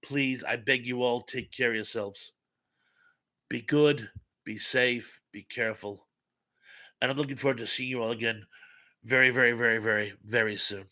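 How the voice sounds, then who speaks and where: A man's voice: medium pitch, fairly even intonation, moderate pace, long pauses, bright, forceful tone. A man hosting a casual livestream, reading viewer comments aloud and rambling with wry asides, his voice getting worn out. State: losing his voice. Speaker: livestream host reading chat.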